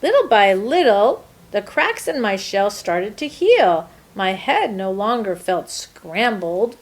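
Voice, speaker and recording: A woman's voice: medium pitch, slow. Woman playing an eager, swooping storybook good egg. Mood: relieved, hopeful. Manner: expressive, exclamatory, emphatic storybook delivery. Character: earnest, eager-to-please good egg from a children's picture book. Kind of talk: first-person children's storybook narration.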